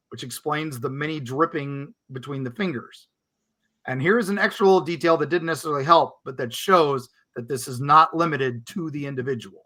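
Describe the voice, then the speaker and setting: A man's voice: fairly high pitch, bright, forceful tone. A man co-hosting a podcast, chatting loosely with many fillers and reading listener letters aloud. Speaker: podcast co-host. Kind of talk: casual chat, reading listener stories.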